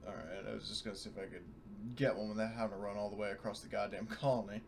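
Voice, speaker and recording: A man's voice: lowish voice, level tone, rapid-fire, creaky, a little hiss or hum. A man chatting casually and informally as a player in an online tabletop game. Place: online voice call.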